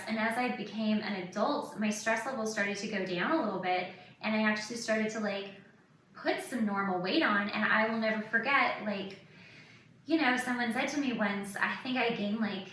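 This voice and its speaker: A woman's voice: on the high side, a bit bright. A woman hosting a podcast, chatting casually and confessionally, with candid emphasis and plenty of "like" as filler. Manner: candid, emphatic, peppers speech with "like". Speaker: podcast host. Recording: roomy echo, distant mic, faint noise.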